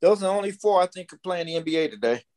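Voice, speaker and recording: High-voiced American man in his fifties. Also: expressive, rapid-fire, a little mellow. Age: 50s. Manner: blunt, opinionated, emphatic repetition. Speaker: podcast guest. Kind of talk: casual storytelling conversation.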